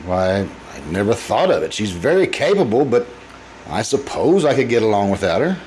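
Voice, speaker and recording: A man's voice: on the low side, sing-song, normal speed, darkish tone, a little hiss or hum, poor audio. An actor playing an anxious, troubled businessman in an old-time radio drama, speaking hesitantly with stammers. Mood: uneasy, resentful. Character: troubled, anxious businessman confessing violent urges to his doctor.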